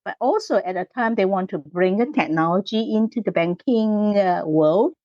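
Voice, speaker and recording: A woman's voice: medium pitch, expressive, normal speed, dry recording, muffled recording. A middle-aged woman from Hong Kong with a Hong Kong accent, answering interview questions with many ums and short laughs. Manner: frequent ums and uhs, laughs between phrases. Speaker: guest engineer.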